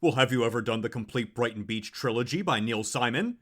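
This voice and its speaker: A man's voice: mid-range voice, lively intonation, brisk, a bit bright. A man playing a flippant, theatrical secret agent with a dry, quipping manner in an audio comedy drama. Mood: smug, teasing.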